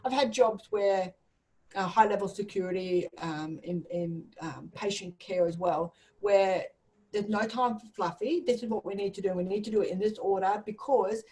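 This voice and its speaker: A woman's voice: medium pitch, moderate pace, dark tone, rough recording. A woman chatting casually, with a direct, jokey and sometimes sweary way of talking. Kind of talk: casual conversational chat.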